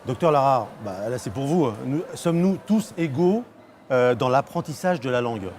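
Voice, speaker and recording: A man's voice: medium pitch, animated, moderate pace, darkish tone. A middle-aged male talk-show host with a mid-range voice introduces his guests and trades jokes with them. A faint hiss runs under the recording. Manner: teasing, joking banter.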